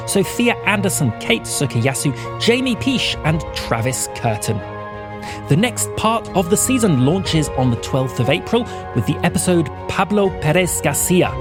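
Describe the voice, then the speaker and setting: A woman announcer reads scripted podcast credits. Her pitch moves in a lively way, with clear music playing behind her.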